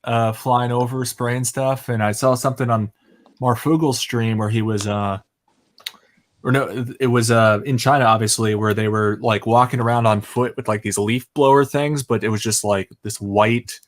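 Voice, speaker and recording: Guy joining the call, rambly, lots of uhs, mid pitch. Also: fairly even intonation. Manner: rambling, halting with fillers. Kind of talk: casual group chat.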